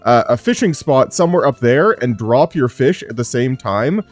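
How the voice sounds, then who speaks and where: A man's voice: medium pitch, moderate pace, soft background music. A man giving casual, rambling commentary over his gameplay, sounding a little sick and pushing his voice up a bit. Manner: relaxed, rambling, frequent "uh" fillers. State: sick, strained low voice. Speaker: gaming YouTuber.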